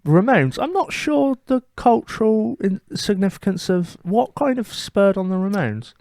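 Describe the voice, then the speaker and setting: A man's voice: high voice, very animated, measured pace, darkish tone, no room sound, clean studio sound. A British man co-hosting a comedy podcast, casually trading opinions in conversation. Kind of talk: casual chat about opinions.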